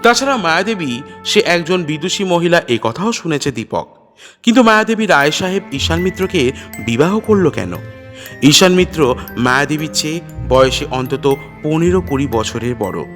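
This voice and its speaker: A man narrating a detective story in a dramatic, literary, measured storytelling style. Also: on the high side, animated, fairly bright tone, soft background music.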